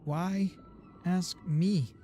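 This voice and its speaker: A man's voice: sits high, fairly even intonation, drawn-out words, warm tone, a little hiss or hum. A man doing casual game stream reactions, with skeptical, slangy, repeated asides. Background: faint game music.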